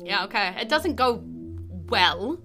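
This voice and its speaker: A woman's voice: mid-range voice, animated, unhurried. A woman with a New Zealand accent improvising narration as game master of a tabletop game, conversational and descriptive. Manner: conversational, descriptive, hedging with kind of and sort of. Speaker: game master, podcast host. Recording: faint music behind the voice. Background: faint background music.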